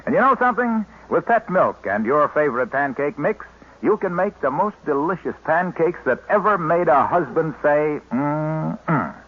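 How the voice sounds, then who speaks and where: A man's voice: on the high side, expressive, moderate pace, breathy edge. A man announcing and reading a scripted commercial in an upbeat, salesy way. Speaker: radio announcer. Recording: thin, phone-like old radio broadcast.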